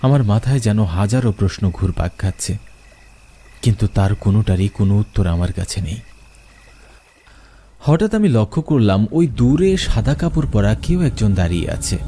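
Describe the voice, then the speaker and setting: A man's voice: lowish voice, sing-song, normal speed, warm tone, slight background noise. A man narrating a first-person horror story in a dramatic, suspenseful way. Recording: music bed under the voice.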